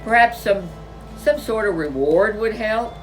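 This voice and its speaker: A blustery papa bear from a children's storybook , acted out by a woman. He is emphatic and stumbles over his words, with a very animated pitch. Music plays behind him. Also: unhurried, distant mic, lightly acted, poor audio. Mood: sheepish, pondering. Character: blustering papa bear, loud and easily abashed.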